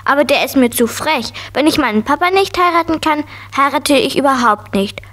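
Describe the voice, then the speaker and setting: A small preschool girl with a high, childlike voice. She is curious and headstrong, insisting on her point in the manner of a children's audio drama. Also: expressive, brisk, a bit bright. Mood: stubborn, pleading. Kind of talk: children's radio play acting.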